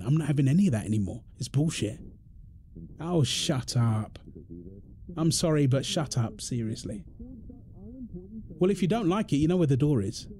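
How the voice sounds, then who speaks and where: Exasperated British husband, swooping pitch acted by a man. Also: dark tone, slight background noise, lightly acted. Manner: indignant, emphatic, confrontational. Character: exasperated, protective husband arguing with his overbearing mother-in-law. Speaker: audio roleplay voice actor. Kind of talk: ASMR roleplay drama monologue.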